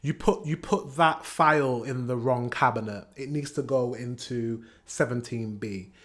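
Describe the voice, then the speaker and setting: A man's voice: medium pitch, a little slow, fairly bright tone. British male reaction host, mid-range voice, casual, chatty and opinionated. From England. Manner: chatty, acts out example exchanges.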